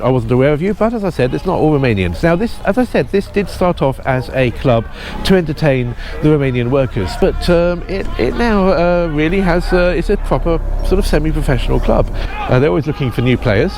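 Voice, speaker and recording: A man presenting outdoors at a football ground, talking informally with many ums and restarts. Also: on the high side. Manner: hesitant, full of restarts and ums, apologetic.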